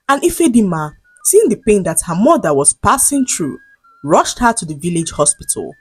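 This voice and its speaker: A woman narrating a scripted folktale as a storyteller, with dramatic, measured delivery. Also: on the low side, husky, no room sound.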